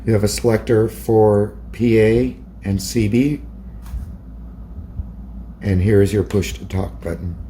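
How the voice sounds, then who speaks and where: A man's voice: lowish voice, steady tone, a little slow, long pauses, dark tone, a little hiss or hum. A man narrating a hands-on product demonstration as a hobbyist presenter.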